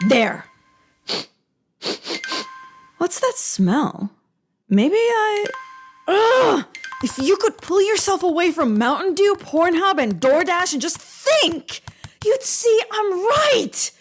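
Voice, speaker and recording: An actress playing a snarky, hot-headed young internet troll with excitable, exaggerated sarcasm in a comedic audio drama. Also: female voice, very high-pitched, slow, soft background music, exaggerated voice. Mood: ranting.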